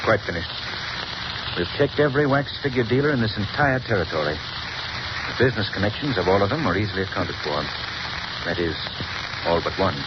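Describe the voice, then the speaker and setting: A man playing a composed, suave gentleman detective in a 1930s radio drama. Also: lowish voice, lively intonation, brisk, pauses often, lo-fi sound, natural voice. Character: cool, confident gentleman detective. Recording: scratchy 1930s radio broadcast recording.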